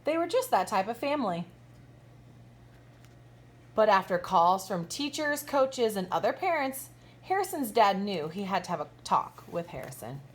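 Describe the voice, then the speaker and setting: A woman teacher reads a children's picture book aloud with lively, swinging intonation. She emphasises key words and pauses to question her young listeners. Faint noise sits behind her voice. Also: mid-range voice. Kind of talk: expressive children's storytime read-aloud with asides.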